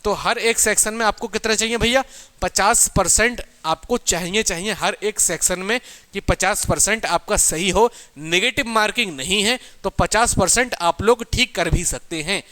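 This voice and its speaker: Male coaching teacher, high-pitched and forceful, repeats key points and poses questions he answers himself. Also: moderate pace. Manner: repetitive, asks questions and answers them himself. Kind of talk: informal exam strategy lesson.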